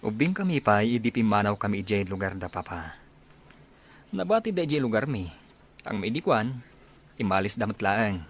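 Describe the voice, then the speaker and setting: A man's voice: lowish voice, sing-song, moderate pace, pauses often. A man playing a brotherly, teasing soldier in his twenties in casual Ilocano audio drama dialogue.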